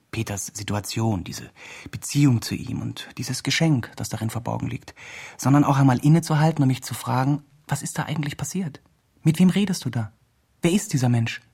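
A man's voice: mid-range voice, creaky, a little mellow, husky. An actor playing an earnest, patronising male blogger who speaks in encouraging therapy-speak, in a radio drama. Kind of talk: radio drama, dialogue and reflective narration.

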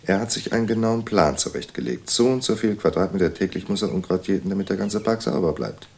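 An actor playing a matter-of-fact, dryly wry psychiatric clinic doctor in a naturalistic radio play. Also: male voice, deep voice, lively intonation, fast talker, gravelly, a little mellow. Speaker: actor in an audio drama. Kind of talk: naturalistic audio drama dialogue.

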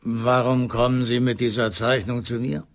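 An actor playing a gruff, self-assured veterinary dentist in a radio play, speaking dryly and deliberately with a gravelly, husky voice. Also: male voice, medium pitch, flat delivery, close to an everyday voice. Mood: wry, inquiring. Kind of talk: 1990s German radio play acting.

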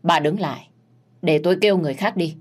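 A woman doing a scheming older servant in a spoken story, polite and eerily unruffled. The husky low voice stays composed and a bit brisk. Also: a little mellow. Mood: cool politeness.

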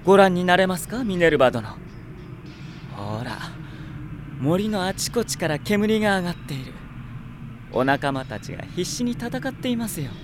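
Silky, taunting villain sorcerer, lively swoops. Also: male voice, high-pitched, background noise. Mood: smug, taunting. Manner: mockingly polite, unhurried, taunting. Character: scheming young male enemy sorcerer, mockingly polite, cruel. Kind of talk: Japanese radio drama acting. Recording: drama production with music behind.

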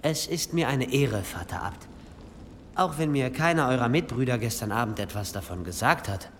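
Guy playing humble polite pilgrim in a radio play, eager and formal, lively pitch, echoey monastery hall sound. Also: normal speed, slight background noise, natural voice. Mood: dutiful. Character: polite, deferential pious pilgrim guest at a monastery. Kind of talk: German radio drama acting.

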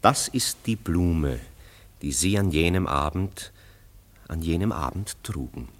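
Worldly, ironic man: deep voice, animated delivery, classic radio play wit. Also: a little slow. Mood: sardonic. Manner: sardonic, urbane, theatrical. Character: witty, ironic, worldly male bachelor friend.

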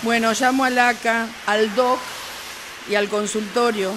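A woman's voice: fairly high pitch, steady tone, unhurried, close to an everyday voice. Woman acting Argentine doctor, noisy recording. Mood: matter-of-fact. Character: doctor passenger, calmly delegating. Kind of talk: amateur radio drama acting.

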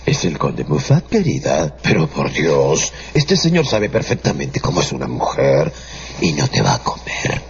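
An extremely deep, creaky theatre owner, coaxing like an old-hand showman in a radio drama. The sound is lo-fi with faint noise. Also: wildly swooping pitch, measured pace, heavily acted. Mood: coaxing, amused.

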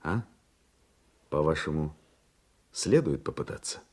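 An actor playing an earnest, politically ambitious younger man in a radio play, with a serious, deliberate way of talking. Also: extremely low pitch, animated, darkish tone, exaggerated voice. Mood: earnest, resolute.

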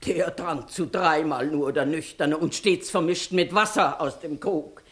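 An actress playing a long-winded, indignant village plaintiff in a classical German comedy radio play, declaiming emphatically and plaintively. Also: female voice, deep voice, measured pace. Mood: proud, long-winded.

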